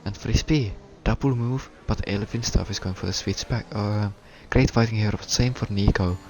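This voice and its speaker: Low-voiced Finnish man stumbling through commentary. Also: a little mellow, slight background noise. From Finland. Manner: halting, stuttering delivery with frequent restarts. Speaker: race commentator. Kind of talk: live sim racing commentary.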